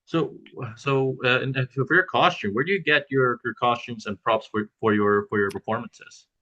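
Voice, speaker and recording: A man hosting an interview, asking questions haltingly with repeated words. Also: medium pitch, steady tone, sharp tone. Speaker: podcast host, interviewer. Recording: dull lo-fi mic.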